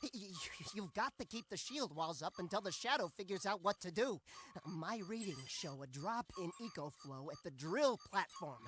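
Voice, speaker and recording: A man's voice: high-pitched, bright, forceful tone, a little hiss or hum. A man voicing a paranoid, fretful video game character, stammering nervously as he talks. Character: jumpy, paranoid, fretful man at a mining operation. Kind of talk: animated video game acting.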